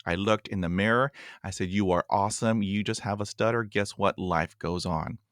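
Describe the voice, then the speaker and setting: Man in his forties, lowish voice, stutters with blocks, earnest and repetitive, dry close sound. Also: normal speed, fairly bright tone, no room sound. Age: 40s. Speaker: podcast host. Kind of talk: casual podcast interview.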